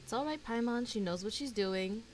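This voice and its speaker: A woman's voice: on the high side, moderate pace, background noise. A woman streamer giving casual, informal reaction commentary while playing a video game, often in short asides.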